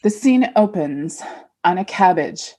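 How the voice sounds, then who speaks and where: A woman's voice: fairly low pitch, animated, a little slow, a little mellow. Woman podcaster with playful, mock-serious wit. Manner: playful mock-serious narrating with wry asides. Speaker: podcast co-host; midwife. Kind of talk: casual comedic chat.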